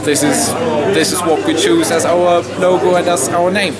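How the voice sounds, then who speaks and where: A man's voice: a little high, level tone, normal speed. A man with a non-native accent, hesitant and full of fillers and restarts, being interviewed at an indoor fair. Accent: non-native English. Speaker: interviewee, radical history publisher. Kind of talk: casual interview. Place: busy indoor fair at a university. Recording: crowd chatter and loud music behind.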